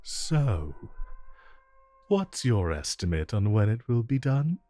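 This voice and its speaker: A man playing a suave, flirtatious supervillain in a romantic audio roleplay, with a smooth, teasing drawl and deliberate pauses. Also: on the low side, big pitch swings, warm tone, soft background music. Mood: flirtatious, relaxed. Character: suave, flirtatious male supervillain courting his tailor.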